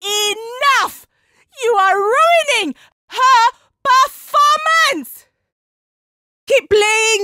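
A woman's voice: very high voice, sharp tone, no room sound, studio quality, full character voice. A woman voicing a towering tree-like spirit mother in a video game, doting on her daughter and shrieking at intruders, with effects layered on the voice. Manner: overprotective, shrieking, scolding. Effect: layered sound effects making the voice tree-like.

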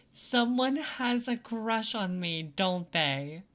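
Slow, teasing tomboy played by a woman. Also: a little high, fairly bright tone, exaggerated voice. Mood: smug, teasing. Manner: teasing, drawling, slipping into whispers. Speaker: ASMR roleplay performer. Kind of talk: ASMR roleplay, intimate second-person acting.

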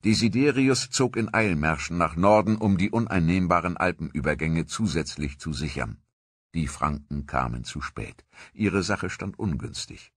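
Male documentary narrator, deep and husky, measured storyteller for scripted history. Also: a bit bright, no echo, clean studio sound.

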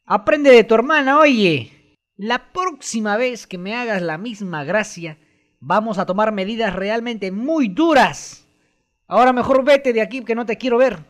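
Man playing a high-voiced, scornful bully. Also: expressive, a little slow. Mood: indignant, scolding. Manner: sarcastic, scolding. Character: scornful, mocking man, harsh scolder and tempter. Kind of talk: educational radio soap acting.

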